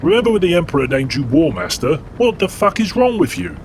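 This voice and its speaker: A laddish demigod warrior, played by a man, trades crude, blunt banter. His voice leaps around as he mocks. Steady background noise runs under it. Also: a little high, fast talker, voice pushed up. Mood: mocking.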